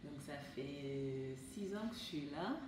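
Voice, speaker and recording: A young woman from Portugal, a resident of a youth housing residence, chatting lively about her life there. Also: deep voice, takes it slowly. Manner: chatty and lively, laughs easily. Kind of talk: testimonial interview. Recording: echoey room, distant mic, background noise.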